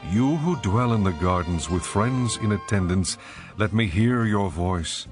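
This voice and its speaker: Audio drama bridegroom: low register, reverent and poetic, adoring, scripture recitation with light music underneath. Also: male voice, expressive, measured pace. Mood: earnest. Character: adoring male lover praising his bride, ancient royal poetry.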